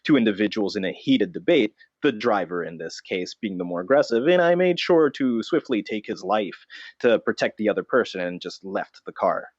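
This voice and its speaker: A man's voice: fairly low pitch, expressive, normal speed, hardly any pauses, no echo, muffled recording. A man playing a self-serious, defensive vigilante notebook who dresses as a bat, in an improvised comedy interview. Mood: grave, matter-of-fact. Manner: solemn, defensive, callously self-justifying.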